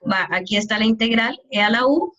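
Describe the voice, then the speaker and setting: A woman's voice: a little high, fairly quick, pushed and bright, dull audio. A woman math teacher giving an online calculus class, explaining step by step and often checking that her students follow.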